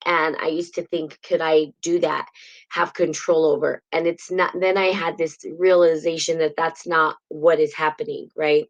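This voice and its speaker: A woman's voice: lowish voice, highs cut off. A woman hosting a live spiritual talk stream, teaching casually in a rambling way and greeting viewers by name, heard on a built-in device mic. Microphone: built-in device mic instead of her usual mic.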